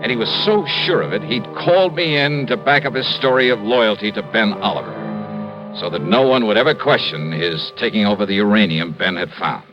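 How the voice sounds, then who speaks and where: A man's voice: fairly low pitch, fairly quick, over music, lightly acted. Male actor as hard-boiled investigator, sardonic, clipped, 1950s radio drama, roomy muffled old recording. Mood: wry, reflective. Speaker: star of a radio detective drama. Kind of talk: 1950s radio detective acting and narration.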